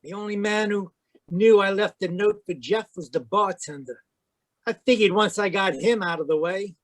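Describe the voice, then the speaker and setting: A man's voice: high-pitched, normal speed, natural voice. An actor playing a cold, scheming male murderer with a gun in an old-time radio drama, curt and threatening. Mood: menacing. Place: remote online performance from a close mic.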